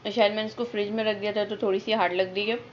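A woman's voice: medium pitch, flat delivery, very fast, dull audio, slight background noise. A young woman, casually chatting to viewers in her home kitchen while filming on a handheld phone. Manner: chatty, upbeat, addresses viewers directly. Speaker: vlogger. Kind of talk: casual home cooking vlog. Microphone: phone camera held in hand.

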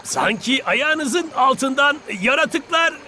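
A man voicing a cocky, excitable show-off football player in a cartoon, boastful and full of exclamations. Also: very high-pitched, slow, slight background noise, full character voice. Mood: smug. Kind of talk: cartoon dub acting.

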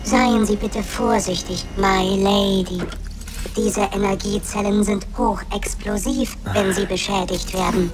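A woman voicing a formal, obedient servant robot that calmly warns its lady. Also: mid-range voice, unhurried, noisy background, lightly acted. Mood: deferential. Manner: formal, calm and deferential.

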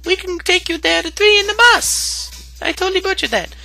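Man putting on a squeaky, chummy bandsman. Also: moderate pace, vocal fry, sharp tone, faint music behind, heavily acted.

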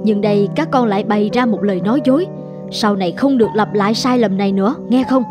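A woman playing a caring, gently admonishing mother of young boys in a cartoon. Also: mid-range voice, fast talker, close to an everyday voice. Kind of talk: cartoon voice acting. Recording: music under the dialogue.

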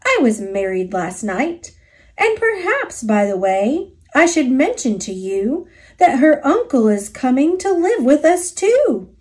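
A cheeky little storybook bird fellow, acted out by a woman reader. He is chirpy and pushy, with a high voice that leaps around and draws words out at an unhurried pace. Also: sing-song. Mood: presumptuous. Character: cheeky cheerful male bird, a brash freeloader.

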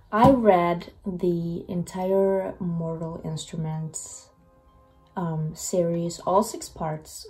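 A woman's voice: lowish voice, poor audio. A young woman whose first language is Finnish, chatting casually in a reading vlog, a little under the weather, recorded on a webcam in a small room. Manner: chatty, animated, self-deprecating. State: slightly sick, voice lower than usual. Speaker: book vlogger.

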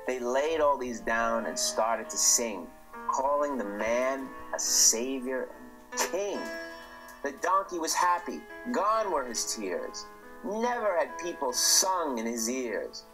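A man reading aloud a rhyming picture book, in a slow, lilting storytelling voice. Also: mid-range voice, very animated, low-quality recording. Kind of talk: rhyming storybook read-aloud over music. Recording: played back with guitar music behind.

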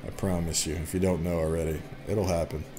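A man's voice: low voice, little pitch movement, a little fast, background noise. A young man giving casual, informal let's play commentary and reading game dialogue aloud. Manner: informal, reacts with exclamations. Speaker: gaming YouTuber. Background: game music.

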